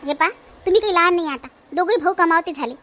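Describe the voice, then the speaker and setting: Woman acting a very shrill-pitched nagging young wife. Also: fast, a bit bright. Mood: aggrieved, nagging. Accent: Varhadi Marathi from Maharashtra. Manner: complaining, lamenting. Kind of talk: hobby cartoon comedy dialogue.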